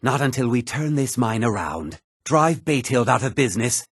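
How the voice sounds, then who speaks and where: Casting: blunt, haughty fantasy game man; raspy low voice, dry close recording. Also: animated, moderate pace, dry recording. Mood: gruff, blunt. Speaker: video game voice actor. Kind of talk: fantasy game character dialogue.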